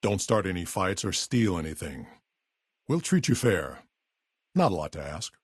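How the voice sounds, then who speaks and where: An actor playing a weary, level-headed super mutant town leader in a video game, with a deep, gravelly, husky voice and a blunt, measured, authoritative manner. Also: male voice, sing-song, dry recording, studio quality, full character voice. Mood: calm, blunt. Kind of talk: video game character voice lines.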